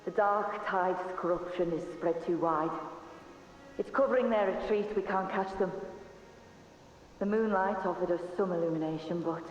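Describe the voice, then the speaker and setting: A woman playing a grim fighter in a video game, speaking gravely and with urgency. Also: on the low side, moderate pace, soft background music, natural voice. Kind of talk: dramatic video game acting. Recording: very echoey, distant, muffled hall.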